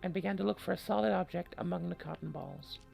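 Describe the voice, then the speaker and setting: A gamer voicing a creaky, wry horror-game heroine. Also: medium pitch, quick pace, a little mellow, faint music behind. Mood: wary, resolved. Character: Eve, female game protagonist, wry and self-reproaching narrator. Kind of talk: dramatic reading of visual novel narration.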